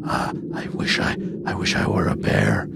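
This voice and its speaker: A man's voice: fairly low pitch, very animated, unhurried, vocal fry, background noise, pitched below the performer's own voice, heavily acted. A man voicing a mild, bewildered bear in a storybook, pleading politely and hesitantly that he is sincere. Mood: forlorn.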